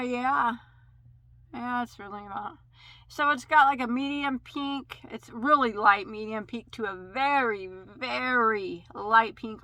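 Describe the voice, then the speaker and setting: Bright-voiced Midwestern woman chatting about yarn. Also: on the high side, no echo, rough recording. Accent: American Midwest. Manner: chatty, rambling, many fillers and self-corrections. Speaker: crochet channel host. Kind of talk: casual yarn haul review.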